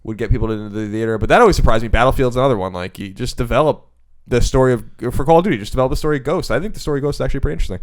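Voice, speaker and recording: American guy podcast chat, talks quick, emphatic, cusses a lot, regular mid voice. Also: mid-range voice. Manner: emphatic, swears freely. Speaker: podcast panelist. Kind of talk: casual opinionated group chat.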